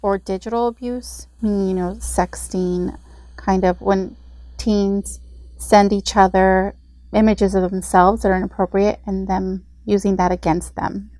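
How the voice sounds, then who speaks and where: A woman's voice: mid-range voice, steady tone, a little slow, darkish tone, dry recording, rough recording. A woman who is a community services director, explaining steadily in an interview with the occasional filler. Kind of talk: informational interview.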